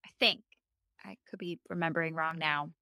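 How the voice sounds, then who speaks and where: A woman co-hosting a podcast in casual chat, thoughtful and measured, with plenty of "like" fillers. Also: deep voice, steady tone, pushed and bright, no echo, studio quality. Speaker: podcast co-host. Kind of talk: casual chat about a TV episode.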